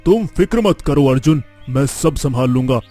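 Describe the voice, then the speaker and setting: A man's voice: mid-range voice, lively intonation, moderate pace, dark tone, faint music behind, close to an everyday voice. A young man playing a determined, boastful eldest son from a village in a cartoon story, with an earnest, declamatory delivery. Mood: determined, reassuring. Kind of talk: dramatic Hindi cartoon story dialogue.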